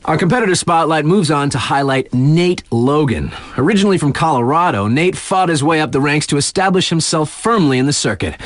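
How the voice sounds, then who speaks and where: A male actor's take on a laid-back sports radio DJ for a snowboarding game. He has a mid-pitched male voice that moves around a lot, in a dry studio sound. His delivery is chatty and slangy, slipping from announcer patter into casual, halting asides. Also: no room sound, natural voice.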